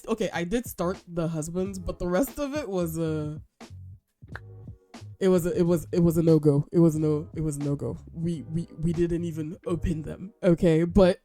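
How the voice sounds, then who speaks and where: A young woman hosting a casual book review vlog, chatty and animated with slang, sounding a little nasal from a cold. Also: on the low side. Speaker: host.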